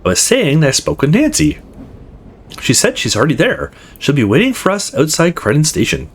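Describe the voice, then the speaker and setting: Man voicing a polite, earnest young woman, slightly husky. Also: a little high, huge pitch swings, fairly quick, pitched above the performer's own voice, full character voice. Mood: matter-of-fact. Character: young female friend, polite, gently nervous and teasing.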